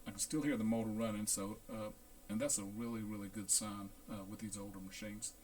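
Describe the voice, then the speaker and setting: A man talking through a hands-on equipment check as a repair technician, in a casual, unhurried, halting way, thinking aloud. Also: lowish voice, steady tone, darkish tone, slight background noise. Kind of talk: casual hands-on demonstration narration.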